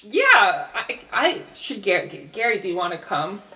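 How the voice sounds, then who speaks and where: A woman's voice: mid-range voice, very animated, unhurried. A woman playing a naive, upbeat, eccentric college student in a casual, chatty manner in a tabletop game.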